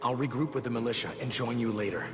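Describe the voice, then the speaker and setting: An actor playing a dutiful, steadfast fighter in a fantasy video game. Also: male voice, medium pitch, fast talker, natural voice. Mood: resolute. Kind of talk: video game cutscene acting. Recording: echoey long reverb, game music.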